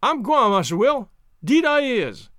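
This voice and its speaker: High-pitched, folksy servant, eager and simple. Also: male voice, sing-song, measured pace, fairly bright tone, dry recording, clean studio sound, full character voice. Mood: nervous, startled. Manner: folksy nonstandard dialect speech. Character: simple, literal-minded male servant up a tree, eager and obliging.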